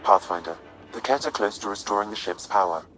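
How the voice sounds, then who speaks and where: A man playing a calm artificial intelligence adviser in a video game, measured, precise and formal. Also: fairly low pitch, faint music behind, close to an everyday voice, poor audio. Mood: measured urgency. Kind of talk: video game cutscene acting.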